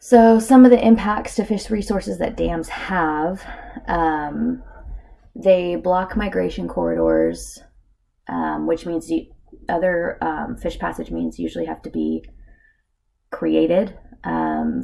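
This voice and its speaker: Hoarse, gravelly low woman presenting. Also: mellow. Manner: casual, with many filler ums. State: hoarse from a cold. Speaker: student presenter. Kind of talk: narrated slideshow presentation.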